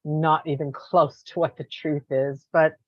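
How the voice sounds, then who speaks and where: A woman's voice: low-pitched, fairly even intonation, a little slow, no room sound. A woman hosting a casual interview, supportive and full of brief affirmations. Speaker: podcast host. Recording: dry, dull lo-fi sound.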